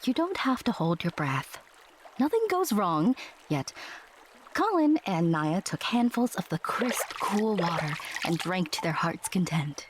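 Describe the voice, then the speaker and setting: Lowish woman narrating a fairy tale. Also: sing-song, background noise. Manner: addresses the listener, deliberate, dramatic pauses. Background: music.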